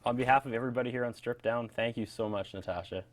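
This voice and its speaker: A man's voice: mid-range voice, fast talker. A man hosting a music show, interviewing a guest in an informal, chatty way. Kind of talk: casual on-camera music interview.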